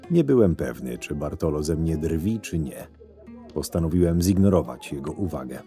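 Low, mellow, sardonic schemer voiced by a man. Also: expressive, moderate pace. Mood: dry, brooding. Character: cynical, scheming male camp henchman recounting his days. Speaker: narrator and lead actor of an audio drama. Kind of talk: first-person scripted narration. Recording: music under the voice. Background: background music.

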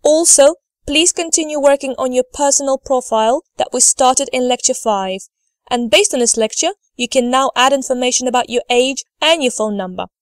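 A woman's voice: high-pitched, moderate pace, dry recording, clean studio sound. A woman teacher narrating a scripted lesson, enunciating carefully in an instructive, encouraging manner. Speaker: language teacher.

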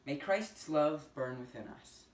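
A speaker with a mid-pitched voice reads a formal acknowledgement in measured phrases. The voice is distant and echoey, with faint background noise. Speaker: liturgy reader. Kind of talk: land acknowledgement reading.

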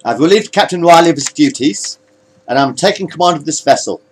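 A man's voice: a little high, lively intonation, a little fast, husky, voice pushed up. A man voicing a firm, defiant blue-skinned alien first officer giving clipped commands in a space story. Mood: assertive.

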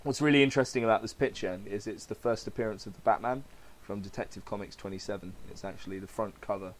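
A man's voice: lowish voice, fast talker. A man hosting a podcast, casually reading and narrating a comic-book story aloud. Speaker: podcast host.

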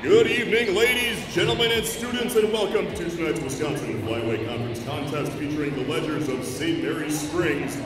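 A man's voice: on the high side, recorded from a distance, a crowd in the background. A man in his twenties with an American accent announcing live at a school sports game, stretching names out showily. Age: 20s. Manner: drawn-out showy name calls. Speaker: public address announcer. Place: large indoor school gymnasium. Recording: echoing gymnasium PA, reverberant.

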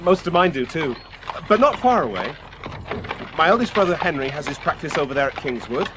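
Eager young brother for radio drama: high, fast, earnest, proud. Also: male voice, very animated. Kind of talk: 1980s radio play acting. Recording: noisy restored radio broadcast tape.

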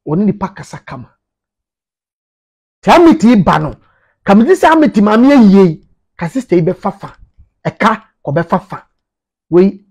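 A man's voice: high voice, darkish tone, breathy edge. A man in his thirties with a Ghanaian accent, giving informal commentary to camera and emphatically repeating his phrases. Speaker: media commentator. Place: small indoor office.

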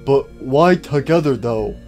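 A man voicing a dim, nosy, childlike animatronic bear in a comedy cartoon, questioning everything in a slow-witted way. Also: mid-range voice, animated, a little mellow, soft background music. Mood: nosy, puzzled. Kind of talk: amateur comedy cartoon dialogue.

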